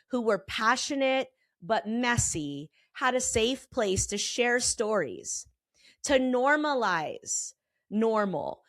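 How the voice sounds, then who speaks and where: A slightly hoarse woman in her forties, a therapist hosting her own podcast, talking in a candid, emphatic way with casual swearing. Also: mid-range voice, fairly bright tone, dry recording. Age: 40s. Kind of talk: personal podcast monologue. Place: Colorado.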